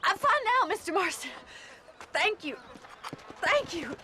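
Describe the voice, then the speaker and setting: An actress playing a proud, sharp-tongued frontier woman in a video game, scolding and defiant. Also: very high voice, big pitch swings, measured pace, noisy background, heavily acted. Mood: relieved. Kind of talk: video game cutscene acting.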